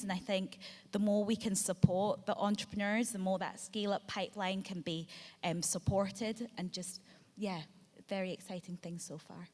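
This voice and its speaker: A woman answering on a conference panel in an upbeat way, with some fillers. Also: medium pitch. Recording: reverberant hall.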